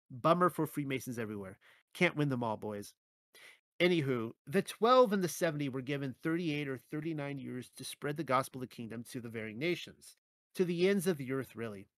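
A man's voice: mid-range voice, a bit bright, no echo. A man reading his own religious article aloud, quoting scripture and adding dry, wry asides. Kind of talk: reading and commenting on a written article.

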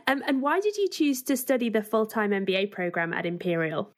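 A woman's voice: medium pitch, a little fast. A woman podcast host putting friendly, prepared interview questions to a guest.

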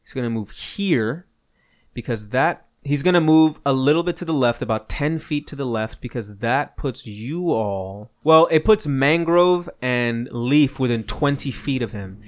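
A man's voice: medium pitch, expressive, unhurried. A man working as game master, narrating a tabletop game casually and playfully.

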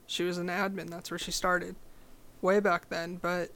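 A young woman with a low voice, talking as a podcast guest in a direct, confident, casual way. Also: moderate pace. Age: young adult. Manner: confident, direct, animated storytelling. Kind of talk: casual interview chat.

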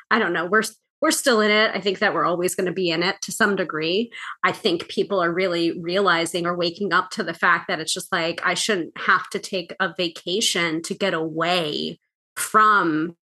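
A woman's voice: fairly low pitch, bright, forceful tone, slightly rough. A woman co-hosting a podcast, a tarot reader chatting casually and laughing easily as she tells stories.

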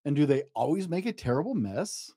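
A man voicing a patient, coaxing mother in a children's storybook, with sing-song calls and gentle questions. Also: on the high side, big pitch swings, brisk, slightly rough, no room sound, clean studio sound, full character voice.

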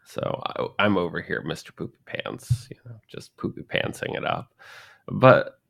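A man's voice: low-pitched, lively intonation, normal speed, gravelly, fairly bright tone. A man in his thirties co-hosting a casual podcast chat, rambling and joking, often acting out the people he quotes. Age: 30s. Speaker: podcast co-host.

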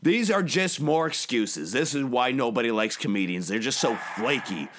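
A man playing an arrogant, bullying guest who insists he is the best host, talking down to the other man in a gravelly voice. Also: medium pitch, lively intonation, moderate pace, fairly bright tone, close to an everyday voice. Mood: scornful. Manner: pompous, bullying, condescending. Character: arrogant male loudmouth who thinks he's the best. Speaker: recurring comedic guest. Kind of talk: improvised comedy bit.

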